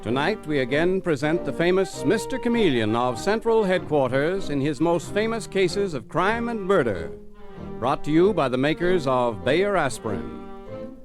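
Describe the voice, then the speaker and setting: Animated, dramatic 1940s radio announcer, male. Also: fairly high pitch, over music. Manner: dramatic, formal, deliberate. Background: musical instrument.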